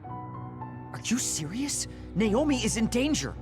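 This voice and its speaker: A man playing a hot-tempered but soft-hearted young soldier in an anime-style game dub, emphatic and openly emotional. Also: very high-pitched, sing-song, slow, full character voice. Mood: alarmed. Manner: emphatic, protesting. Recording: loud music behind.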